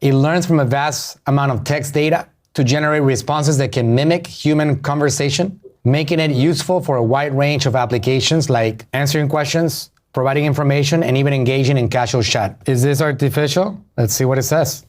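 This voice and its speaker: A man's voice: mid-range voice, fairly quick, hardly any pauses. A middle-aged man with a Puerto Rican accent, a marketer hosting a podcast, giving an emphatic motivational business talk that repeats key phrases and asks the listeners questions. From Puerto Rico.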